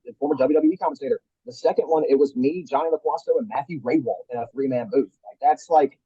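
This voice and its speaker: A man with a very dark, mellow and slightly husky voice talks casually as a guest. He speaks in eager bursts and restarts his sentences often. The sound is dry and a little dull. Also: mid-range voice, fast talker, no echo. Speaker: wrestling commentator, podcast guest. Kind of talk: casual chat.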